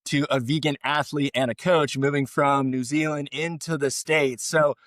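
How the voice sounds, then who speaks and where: A man's voice: a little high, steady tone, normal speed, a bit bright, no room sound, studio quality. A man hosting a podcast, giving an enthusiastic, effusive introduction of his guest. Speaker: podcast host. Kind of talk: podcast interview.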